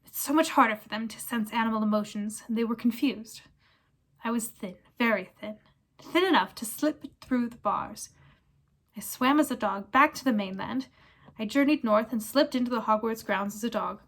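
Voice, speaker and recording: A woman's voice: fairly high pitch, normal speed. A woman voicing a gaunt, bitter man who escaped prison, speaking with fierce, harsh intensity in a storybook reading. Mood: haunted, earnest. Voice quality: croaking, harsh. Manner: intense, forceful.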